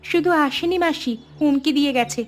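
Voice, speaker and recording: A woman playing a defiant young village girl. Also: sits high. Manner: defiant, quick, scheming.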